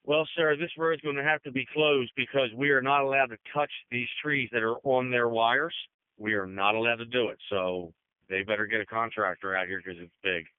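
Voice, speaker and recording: A man's voice: medium pitch, steady tone, normal speed, clean tone, no echo. A man on a road crew reporting in over two-way radio in a plain-spoken, blunt manner. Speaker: highway department road crew worker. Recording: muffled, dry two-way radio transmission.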